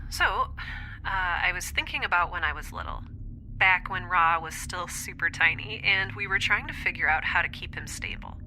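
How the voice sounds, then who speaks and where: A woman's voice: lowish voice, animated, normal speed, bright, forceful tone, a little hiss or hum, low-quality recording. A woman playing an anxious young scientist in an audio drama, thinking aloud in a hesitant, confessional monologue. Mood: jittery, musing. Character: young woman scientist, anxious, introspective, rambling recorded diary.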